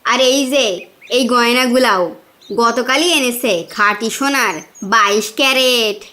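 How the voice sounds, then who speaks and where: A woman's voice: on the high side, steady tone, unhurried, bright, forceful tone. A woman playing a showy, well-off neighbour wife in a cartoon, boastful and slyly coaxing. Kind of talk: cartoon dialogue.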